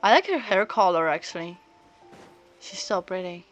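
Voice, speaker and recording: A woman's voice: lowish voice, animated, normal speed, soft background music, rough recording. A woman doing casual live gameplay commentary, chatting and thinking aloud as she streams. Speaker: game streamer.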